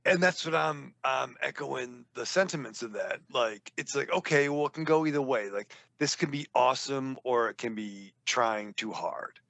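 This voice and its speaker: A man with a New England accent trades crude jokes as a podcast co-host. His voice is gravelly yet bright. The sound is dry and close. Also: mid-range voice, normal speed, few pauses, no echo. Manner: rambling, joking, swears a lot. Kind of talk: casual, profane banter.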